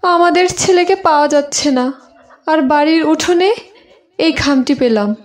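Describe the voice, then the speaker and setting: A woman's voice: high voice, moderate pace, close to an everyday voice. A woman voicing an anxious village wife and mother who questions her husband in a cartoon story. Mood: worried.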